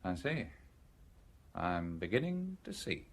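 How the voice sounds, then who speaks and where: A very animated, sardonic, probing Englishman. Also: sits low, takes it slowly, noisy background, heavily acted. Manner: direct, teasing, insistent questioning. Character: shrewd, probing stranger, coolly sardonic. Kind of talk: radio drama acting.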